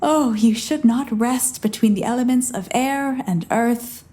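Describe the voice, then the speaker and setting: An ardent young messenger of Shakespearean audio drama, a woman acting a girl in page's disguise. The voice is on the high side, earnest and courtly, declaiming with witty grace. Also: a little slow, dark tone, close to an everyday voice. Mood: earnest, passionate.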